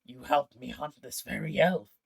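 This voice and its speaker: A man's voice: fairly high pitch, wildly swooping pitch, a little slow, creaky, slightly rough, pitched above the performer's own voice, exaggerated voice. A man voicing a scornful four-armed Vedalken villain. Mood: pleading. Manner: scornful, self-pitying, pleading when threatened. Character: jealous, cruel male four-armed Vedalken hunter.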